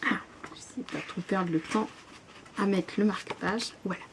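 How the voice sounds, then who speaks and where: A woman's voice: mid-range voice, moderate pace, a little hiss or hum. A young woman vlogging about her current reading in a casual, hesitant way, full of fillers and restarts. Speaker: book vlogger.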